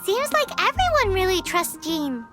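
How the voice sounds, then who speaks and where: A woman voicing a tiny, sassy, chatty female companion creature in a game, indignant and very high-pitched, over loud game music. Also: moderate pace. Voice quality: very high, squeaky, lively. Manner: indignant, talks about herself in third person. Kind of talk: game voice acting.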